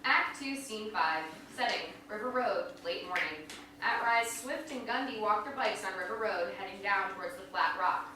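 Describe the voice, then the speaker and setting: A woman's voice: mid-range voice, normal speed, pushed and bright. A woman reading stage directions aloud as scripted narration. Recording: echoey hall, distant mic, faint noise.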